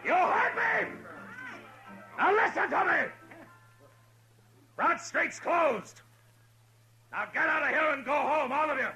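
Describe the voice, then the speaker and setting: A grim frontier marshal. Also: male voice, very high voice, expressive, slow pace, pauses often, pushed and bright, soft background music, full character voice. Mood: commanding. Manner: terse, commanding, grave. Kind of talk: 1950s radio drama acting. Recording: muffled vintage radio recording.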